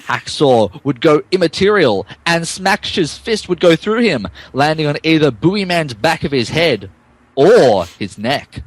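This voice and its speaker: A man's voice: fairly high pitch, sing-song, measured pace, no room sound, low-quality recording. A man giving a dramatic comic reading of fan fiction as a podcast narrator, amused and tripping over the text.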